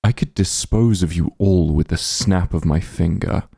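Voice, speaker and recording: A man playing a powerful, calm and smug demon lord in a fantasy ASMR roleplay, unhurried and condescending, with a gravelly, husky low voice. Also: dry recording, studio quality, heavily acted. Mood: annoyed, threatening. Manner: unhurried, condescending, softly commanding.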